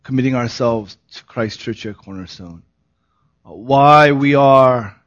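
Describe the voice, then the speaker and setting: A hoarse man preaching to his congregation, his voice at an ordinary middle pitch. He starts easygoing and laughing, then settles into an earnest, solemn sermon. The recording sounds a little dull and muffled. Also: a little slow. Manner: laughing, relaxed opening turning earnest and solemn. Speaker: pastor.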